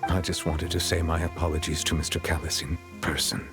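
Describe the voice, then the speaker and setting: A man playing a solemn, dignified chief justice in a video game, speaking in a formal, measured, reserved way. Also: a bass voice, level tone, gravelly. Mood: somber, reflective. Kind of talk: dramatic video game voice acting. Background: game music.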